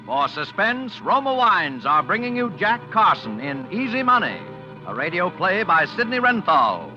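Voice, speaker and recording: Grand, high-voiced 1940s show announcer man. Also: very animated, moderate pace. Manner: grand, dramatic. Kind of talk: formal radio drama introduction. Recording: dull 1940s radio recording with music. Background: music.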